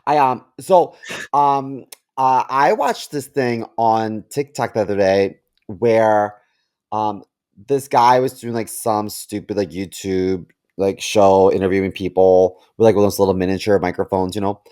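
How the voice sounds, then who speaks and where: Low-voiced man in halting casual chat. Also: darkish tone, clean tone. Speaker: podcast host.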